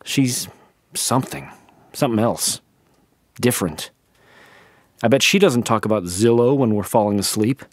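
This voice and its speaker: An actor playing a wistful middle-aged husband, talking softly and haltingly at a funeral in a radio play. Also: male voice, medium pitch, expressive, no room sound, natural voice. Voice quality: soft, halting, musing. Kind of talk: radio drama monologue.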